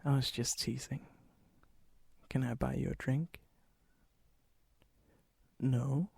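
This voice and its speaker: A man playing a charming, ancient vampire and hopeless romantic in a soft-spoken, often whispered ASMR roleplay, slow, intimate and courteous, with a breathy, husky voice. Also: medium pitch, fairly even intonation, no room sound, heavily acted. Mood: flirtatious, courteous. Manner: slow, intimate, teasing, old-fashioned courtesy. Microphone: close mic, dry sound.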